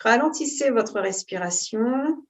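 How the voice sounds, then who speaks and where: A woman teaching yoga, giving calm, unhurried and soothing guidance on posture and breathing. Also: on the high side. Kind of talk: guided yoga and breathing instruction.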